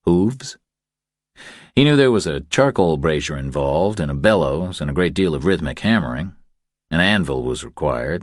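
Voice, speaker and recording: A male narrator reads a crime novel in a very deep voice. His intonation moves widely as the scripted prose unfolds. The recording is dry, with little room sound. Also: no room sound. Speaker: audiobook narrator.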